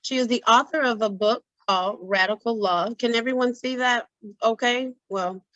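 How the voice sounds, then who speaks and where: Raspy woman hosting an online event. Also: a little high, normal speed, bright, forceful tone, no room sound. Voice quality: raspy this evening. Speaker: host of an online symposium. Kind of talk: event introduction and hosting on a video call. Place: indoors on a video call. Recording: dull video-call audio.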